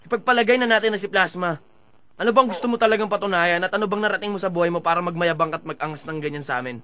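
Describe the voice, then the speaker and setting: Menacing Filipino rapper acted by a man, fairly high-pitched and quick, taunting and insulting on a call. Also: pushed and bright, smooth, dry recording. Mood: taunting, aggressive. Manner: taunting, confrontational, swearing. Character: menacing, insulting male rapper making threats. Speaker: prank caller. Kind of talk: confrontational phone call.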